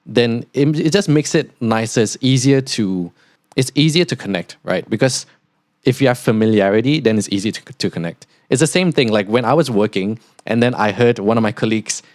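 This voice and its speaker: A young man from Singapore with a softened Singaporean accent, hosting a casual podcast monologue and rambling with many "like" fillers. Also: medium pitch, fairly quick, no echo, studio quality. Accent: Singaporean, partly neutralized toward Australian. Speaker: podcast host, international student.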